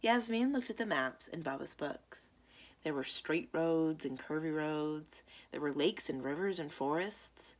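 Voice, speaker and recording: A woman reading children's stories aloud as a library storytime reader, in a deliberate, expressive way. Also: sits low.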